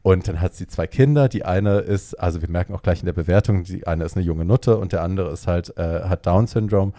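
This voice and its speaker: A man co-hosting a podcast, casually retelling a film's plot in a lively way and dropping in English phrases. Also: low-pitched, a little fast, dry recording, studio quality. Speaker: podcast co-host. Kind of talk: casual chat retelling a film.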